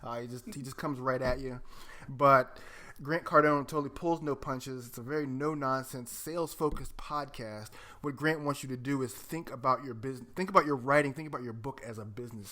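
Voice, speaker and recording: A man's voice: mid-range voice, brisk, pushed and bright. A man co-hosting a podcast in casual conversation, talking in an enthusiastic, emphatic way. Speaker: podcast co-host.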